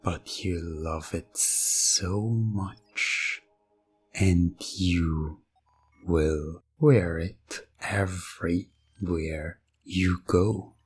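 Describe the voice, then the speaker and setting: A man delivering scripted hypnosis ASMR narration in a deliberate, commanding manner. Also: deep voice, soft background music. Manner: slow, deliberate, commanding second-person delivery. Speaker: hypnosis ASMR role-play performer.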